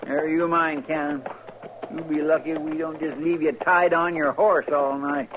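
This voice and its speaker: An actor playing a loyal, anxious deputy in an old radio western, with a folksy rural American drawl and halting, deferential speech. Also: male voice, on the high side, level tone, natural voice. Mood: folksy, bristling. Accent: rural American West drawl. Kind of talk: 1950s American radio drama acting. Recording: noisy, muffled vintage radio recording.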